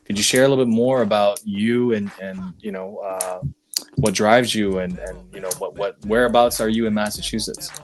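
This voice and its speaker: Male host, low voice; halting, circling questions, casual live show. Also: vocal fry, slight background noise. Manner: repeats himself while forming questions. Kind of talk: hosting a live online show.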